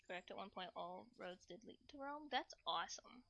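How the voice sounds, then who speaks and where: A woman's voice: medium pitch, expressive, moderate pace, creaky, pushed and bright, dull audio. A woman chatting casually to livestream viewers in a playful, rambling way, often repeating short phrases and slipping into cutesy broken grammar. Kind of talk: casual livestream chat while cooking.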